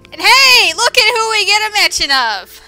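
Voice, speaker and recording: Female game commentator, high and piercingly bright, sarcastic and informal, casual delivery over game music. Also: sing-song, moderate pace, dry recording. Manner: sarcastic, exclamatory; informal, swearing. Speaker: gameplay commentator.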